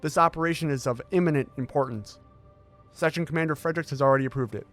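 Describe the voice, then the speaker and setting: A man's voice: a little high, a bit bright, lightly acted. Man acting as formal military scientist over music. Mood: urgent, firm. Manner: measured, formal, authoritative. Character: composed, determined male military intelligence scientist briefing Marines. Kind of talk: audio drama acting, briefing.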